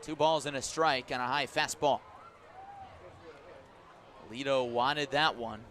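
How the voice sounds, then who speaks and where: A man doing live radio play-by-play of a baseball game, descriptive and unhurried between pitches and punchier on the action. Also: fairly even intonation, fairly bright tone, slight background noise. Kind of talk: live radio sports commentary.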